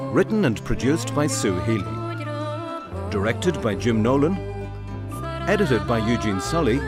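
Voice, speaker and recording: Animated male announcer over loud music. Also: medium pitch. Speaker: announcer reading credits. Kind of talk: scripted credits narration. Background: loud guitar music.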